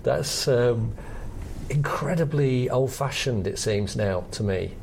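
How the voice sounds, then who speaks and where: A middle-aged British man with a creaky voice at medium pitch, explaining things conversationally as a podcast co-host. He is reflective and hesitant, feeling his way through each thought. There is faint noise behind him. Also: slow, darkish tone. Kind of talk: conversational analysis of comedy history.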